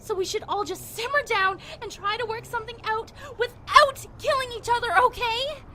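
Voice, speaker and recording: A woman's voice: extremely high pitch, moderate pace, sharp tone. A woman playing an earnest young survivor in an audio drama, pleading and firm as she keeps the peace. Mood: exasperated, firm. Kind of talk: amateur audio drama acting. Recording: faint background noise.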